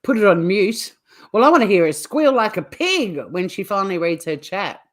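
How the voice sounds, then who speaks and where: A woman's voice: medium pitch, very animated, normal speed. Chatty woman livestreaming casually. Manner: chatty, teasing, informal. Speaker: livestream host. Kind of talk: casual livestream chat with viewers.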